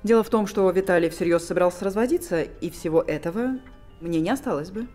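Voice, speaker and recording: An actress in her forties playing a poised, bitter abandoned wife in a film drama, with a measured, theatrical delivery. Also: lowish voice, dark tone, soft background music, lightly acted. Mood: bitter, ironic. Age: 40s.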